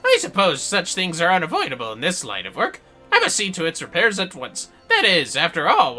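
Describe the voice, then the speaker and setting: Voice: mid-range voice, pushed and bright, full character voice. A game streamer voicing a pompous, self-important male inventor from a fantasy game, with haughty, florid, dismissive delivery. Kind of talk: theatrical read-out of game dialogue.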